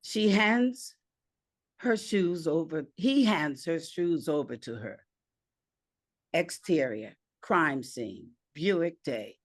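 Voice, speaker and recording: A woman narrating a screenplay aloud with deliberate, measured phrasing. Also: sits low, lively intonation, no echo, clean studio sound.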